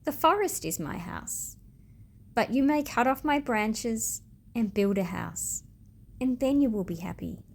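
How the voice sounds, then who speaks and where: A woman voicing a gentle, selfless apple tree in a storybook, speaking tenderly and invitingly. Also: fairly high pitch, animated, a little slow, gravelly, full character voice. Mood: apologetic, generous. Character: gentle, selfless female apple tree, loving and eager to give. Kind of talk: storybook read-aloud.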